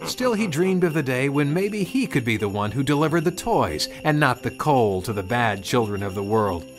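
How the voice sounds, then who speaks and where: A man's voice: mid-range voice, big pitch swings, moderate pace, over music. A man narrating a scripted storybook tale as a storyteller.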